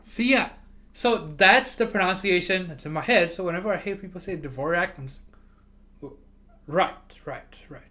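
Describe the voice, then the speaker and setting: A man's voice: sits high, moderate pace, sharp tone. A man who grew up speaking Chinese, hosting a livestream and chatting casually, often repeating himself and laughing. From moved to America from abroad. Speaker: livestream host. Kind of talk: casual chat with chat readers.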